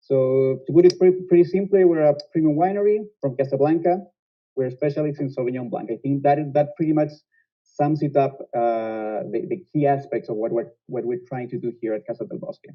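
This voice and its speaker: A man's voice: medium pitch, a little fast. A man in his thirties, a sales manager giving earnest interview answers with frequent restarts. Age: 30s. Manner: earnest, many restarts and fillers. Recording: dull lo-fi sound.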